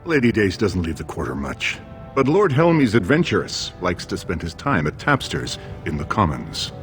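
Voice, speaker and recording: Deep-voiced scheming dwarf political aide. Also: male voice, wildly swooping pitch, moderate pace, fairly bright tone, heavily acted. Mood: stern, scheming. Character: scheming, impatient male dwarven noble's political aide. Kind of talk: video game voice acting. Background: game music.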